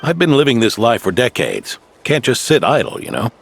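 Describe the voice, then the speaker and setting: A man voicing an aging, stubborn veteran knight near retirement, genial and gruff, in a game cutscene. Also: medium pitch, wildly swooping pitch, moderate pace, fairly bright tone, faint music behind, exaggerated voice. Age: older.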